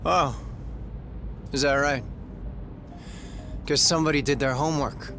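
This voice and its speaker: A man's voice: on the high side, steady tone, measured pace, bright, forceful tone, slight background noise. A man playing a slippery Hawaiian taxi driver and small-time crook in a video game, chatty and smug but cowardly, prone to stammering. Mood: friendly, sly. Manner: chatty, stammering when flustered. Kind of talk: English video game cutscene dub acting. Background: music.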